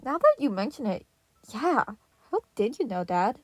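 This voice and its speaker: A woman voicing a sweet, cheerful youngest boy turtle who talks in a bright, eager way. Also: fairly high pitch, very animated, moderate pace, no echo, faint music behind.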